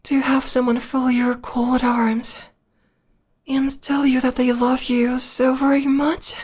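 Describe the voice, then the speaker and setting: Woman acting a breathy, whispery, obsessed vampire girlfriend. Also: high-pitched, level tone, darkish tone, full character voice. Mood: devoted longing. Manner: slow, tender, lingering delivery. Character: possessive, obsessed female vampire lover, clingy and adoring. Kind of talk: intimate ASMR roleplay.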